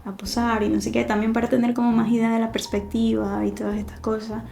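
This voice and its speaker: A woman, chatting casually in a home vlog, soft-spoken and thinking aloud with small pauses. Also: a little high, creaky. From Venezuela. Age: 30s. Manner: soft, relaxed, thinking aloud with fillers and restarts. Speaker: artist vlogger. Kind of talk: casual vlog talk to camera. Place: living room at home, cats playing nearby.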